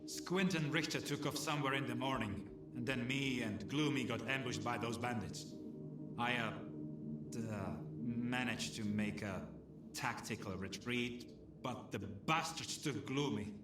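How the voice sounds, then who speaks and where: A man's voice: mid-range voice, normal speed, close to an everyday voice. Casting: male actor as slangy, friendly wasteland scavenger for a game; hesitant, casual delivery, roomy sound with faint noise. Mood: uneasy, persuasive. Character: chatty scavenger stalker man asking a stranger for help. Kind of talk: video game dialogue acting.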